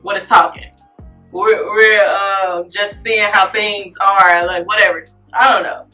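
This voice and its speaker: A woman's voice: medium pitch, steady tone, unhurried, pushed and bright, far from the mic, faint music behind, rough recording. A young woman co-hosting a casual chat show, laid-back and laughing, with slangy talk. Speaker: co-host.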